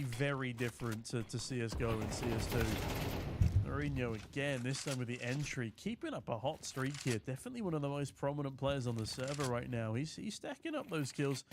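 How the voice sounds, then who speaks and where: A man's voice: mid-range voice, noisy background. A man from the UK casting an esports match alone, calling the play and chatting with viewers in the chat. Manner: chatty, jokey, reads viewer chat aloud between play calls. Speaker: esports caster. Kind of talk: solo esports commentary with chat reading.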